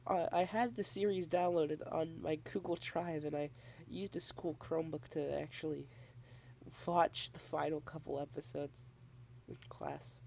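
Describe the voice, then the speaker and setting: A young adult woman in a girlfriend ASMR roleplay, rambling shyly and softly, dropping into whispers at times. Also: low-pitched, steady tone, measured pace, vocal fry, slight background noise. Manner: shy, rambling, soft-spoken with whispered asides.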